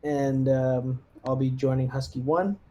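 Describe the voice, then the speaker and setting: A man's voice: medium pitch, steady tone, a little slow, dark tone, muffled recording. A man playing a tabletop roleplaying game, talking casually through ideas and thinking aloud with hesitations. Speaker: tabletop player playing a military lieutenant.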